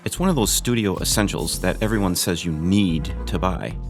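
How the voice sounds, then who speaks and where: A man's voice: sits low, normal speed, music in the background. A man hosting a gear review video, narrating from a script with dry, joking asides.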